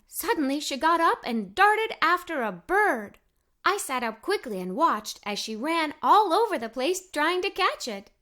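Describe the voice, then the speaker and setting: A woman reading a children's story aloud in the first person as a young girl, expressive and full of wonder. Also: high-pitched.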